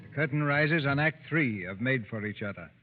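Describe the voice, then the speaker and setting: A man's voice: mid-range voice, animated, moderate pace, over loud music. A man giving solemn scripted narration for a 1940s radio drama. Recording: tinny vintage radio recording.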